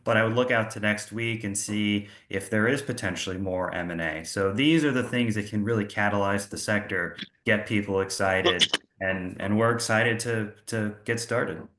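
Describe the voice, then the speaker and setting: A man's voice: fairly low pitch, normal speed, a bit bright. A man working as a financial analyst, presenting a market outlook on a call. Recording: roomy, echoey room sound.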